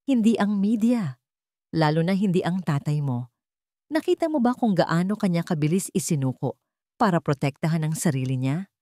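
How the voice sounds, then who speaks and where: Possessive Filipino male villain, played by a female narrator, soft-spoken menace, coaxing and condescending, story drama. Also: fairly low pitch, expressive, normal speed, no room sound, studio quality, lightly acted. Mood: coldly coaxing. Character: menacing, possessive man, calm and sweetly manipulative.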